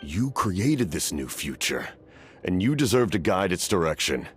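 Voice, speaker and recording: An actor playing a stoic, weary, centuries-old warrior captain in a video game, grave and deliberate. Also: male voice, low voice, big pitch swings, soft background music. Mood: grave resolve. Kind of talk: scripted video game voice acting.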